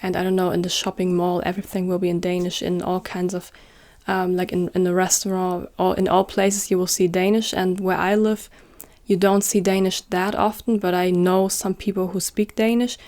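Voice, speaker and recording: A woman's voice: fairly low pitch, level tone, normal speed, darkish tone. A young woman chatting casually to camera in a vlog, filling her talk with "like" and joking about herself. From northern Germany. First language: German. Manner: chatty, self-deprecating, many filler "like"s. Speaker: YouTuber.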